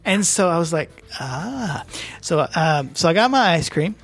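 A woman's voice: lowish voice, very animated, measured pace, slightly rough, faint music behind. A woman from Texas with a Texan drawl, chatting casually and telling a story with laughs. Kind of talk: casual chat.

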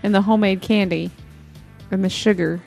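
A woman's voice: medium pitch, fairly even intonation, moderate pace, vocal fry, darkish tone, soft background music. A woman chatting casually, adding details and agreeing along as a story is told. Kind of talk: casual chat.

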